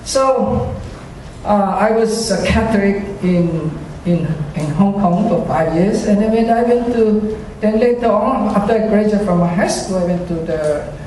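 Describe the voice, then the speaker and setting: A man's voice: sits high, a little slow, a little mellow, a little hiss or hum. A man from China giving a personal faith testimony to a church audience, hesitant with many fillers and frequent self-deprecating chuckles. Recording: echoey hall, speaker far from mic.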